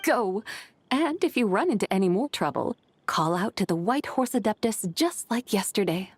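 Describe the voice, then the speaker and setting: A woman playing a composed, wry immortal woman who speaks formally and measuredly, gently teasing, in an anime game. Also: mid-range voice, lively intonation, moderate pace, close to an everyday voice. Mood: serene. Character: composed, wry female immortal adeptus with sly wisdom.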